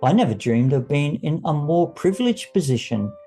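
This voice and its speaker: A man's voice: fairly high pitch, sing-song, moderate pace, mellow, faint music behind. A man voicing a servile male attendant in an audio story, deferential, earnest and obsequious. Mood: earnestly devoted.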